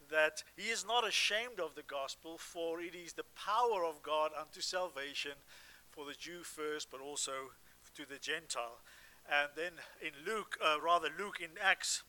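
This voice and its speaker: A man with a South African accent preaching a sermon as a guest pastor, earnest and halting, with frequent restarts and fillers. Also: a little high, sharp tone.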